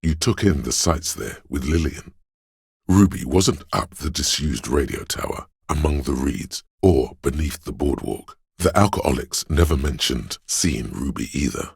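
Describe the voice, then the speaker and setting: A man voicing an erudite inner voice full of trivia in a video game, deep, gravelly and husky, narrating deliberately with dry pedantry. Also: animated, no room sound, exaggerated voice. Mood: measured, knowing. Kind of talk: measured game narration addressing the listener.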